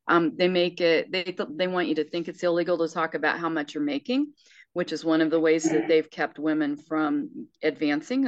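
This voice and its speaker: Woman, about sixty, emphatic, somewhat low voice, dry and lo-fi. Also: no room sound. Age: 60s. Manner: earnest, emphatic, with frequent restarts. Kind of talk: casual conversation.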